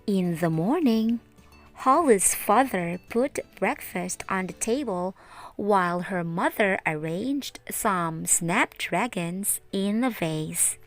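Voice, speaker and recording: Slow-paced woman reading a children's story. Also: medium pitch, lively intonation, faint music behind. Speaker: teacher reading stories aloud.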